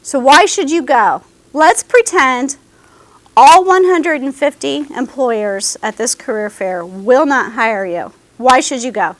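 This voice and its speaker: A woman's voice: sits high, slow pace, a bit bright, dry recording. A woman giving a career services presentation to a class of students, talking to them directly and often checking in with "okay?". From American South.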